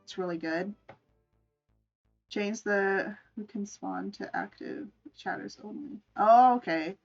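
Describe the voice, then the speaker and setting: A woman's voice: medium pitch, slow, creaky, distant mic, lo-fi sound, soft background music. A woman chatting casually and informally on a livestream as she shows off her art, playful and free with swearing. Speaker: streamer, VTuber artist. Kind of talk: casual livestream chat showing drawings.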